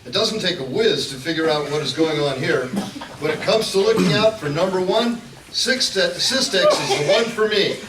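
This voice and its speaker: A man acting as a helpful golfing buddy pitching a product in a spoof radio commercial, on an echoey stage. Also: expressive, moderate pace. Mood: salesy. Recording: echoey live stage, distant, faint noise.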